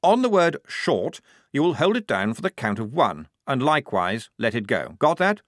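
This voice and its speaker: A man's voice: medium pitch, very animated, normal speed, fairly bright tone, no echo, clean studio sound. A man reading a story, voicing a pompous, fussy young male lieutenant in a lofty, overly precise manner.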